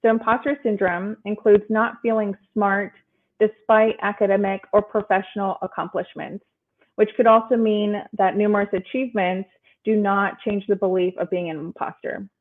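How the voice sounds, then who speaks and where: A woman's voice: mid-range voice, level tone, normal speed. Woman moderating over a phone-like line. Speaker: panel moderator. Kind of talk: prepared panel moderation. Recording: narrow phone-like call audio.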